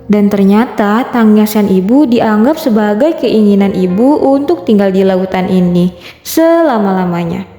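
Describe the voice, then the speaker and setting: A woman voicing a gentle, anxious mother with a soothing, pleading manner in a children's folktale. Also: medium pitch, expressive, unhurried, soft background music, lightly acted. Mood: tender, sorrowful.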